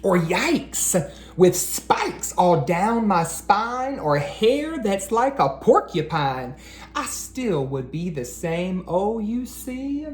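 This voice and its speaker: A man reading aloud to children like an educator, with an expressive, encouraging manner that speaks straight to them. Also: sits high, slow pace. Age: adult. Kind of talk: animated children's read-aloud. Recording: roomy echo, faint background noise.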